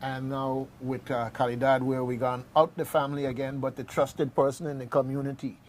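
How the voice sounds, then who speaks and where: A male radio host in casual conversation with co-hosts. He is informal and jocular, circling back and repeating his phrases, at an average pitch, with faint noise around him. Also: flat delivery, brisk.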